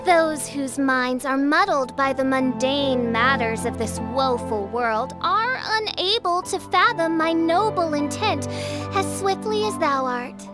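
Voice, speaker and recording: A woman's voice: high voice, animated, measured pace, over music. A woman voicing a grandiose young self-styled princess in a video game, speaking in pompous archaic phrases.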